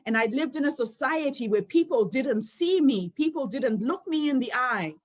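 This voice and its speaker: A woman's voice: high voice, lively intonation, normal speed. A woman, giving an earnest motivational speech built on personal stories. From Zimbabwe. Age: 50s. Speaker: summit founder and speaker.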